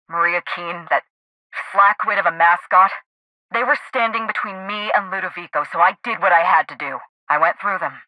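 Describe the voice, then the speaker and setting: A woman playing a vengeful, theatrical actress turned assassin in a video game, speaking in a dramatic, deliberate and menacing way. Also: fairly low pitch, sharp tone, dry recording, poor audio. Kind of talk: scripted game dialogue.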